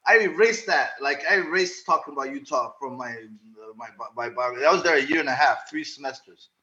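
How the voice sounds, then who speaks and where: A man in his forties from Zaire, raised in the United States and Zimbabwe, chatting casually as an interview guest, telling stories in an animated, halting way with repeated words. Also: medium pitch, highs cut off. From born in Zaire, raised in the United States and Zimbabwe. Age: 40s. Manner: animated, halting storytelling with repeated words and frequent check-in tags. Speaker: podcast guest. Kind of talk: casual anecdotal interview chat.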